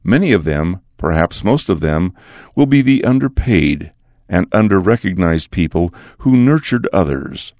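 An older man, a church leader, giving a measured, earnest devotional address to students. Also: low-pitched, expressive, a little mellow, no room sound, clean studio sound.

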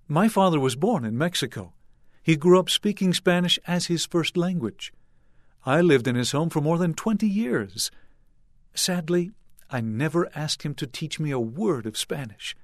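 An older man and church leader delivering a sermon to young people in a measured, earnest way with deliberate phrasing. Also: on the high side, no echo, studio quality.

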